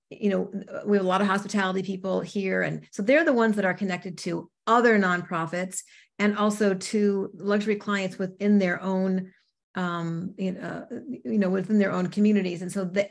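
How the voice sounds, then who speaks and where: Woman in her fifties, brisk, talkative panelist, mid pitch, webcam audio. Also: steady tone, vocal fry. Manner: brisk and talkative, with many fillers. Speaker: real estate agent, panelist. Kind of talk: panel discussion on a video call. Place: home office with bookshelves. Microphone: video call webcam.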